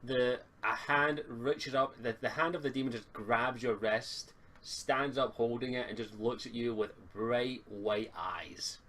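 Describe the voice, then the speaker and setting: A man with a Scottish accent improvising narration as game master, in a halting, informal way. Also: mid-range voice, fairly bright tone. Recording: faint noise and clicks behind voice.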